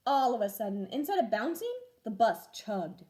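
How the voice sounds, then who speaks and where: A woman with a fairly high voice reads a picture story to young children. Her delivery is expressive and very animated, with the clear phrasing of a primary teacher. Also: moderate pace. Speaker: first grade teacher reading stories aloud. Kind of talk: children's storybook read-aloud.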